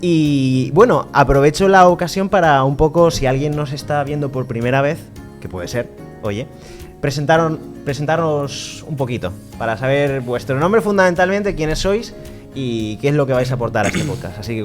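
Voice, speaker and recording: A man's voice: medium pitch, normal speed, faint music behind. A man hosting a podcast, a lawyer who leads the talk in a friendly, explaining way. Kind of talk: casual podcast chat.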